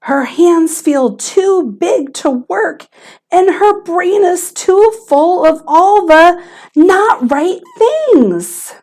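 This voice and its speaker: A woman teacher reading a children's picture book aloud in a theatrical, expressive way with emphasis on key words. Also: very high-pitched, very slow.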